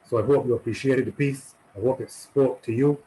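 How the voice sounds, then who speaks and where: A man speaking to an online audience after his poem, deliberate and encouraging. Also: mid-range voice, dark tone, slight background noise, low-quality recording. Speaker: poet.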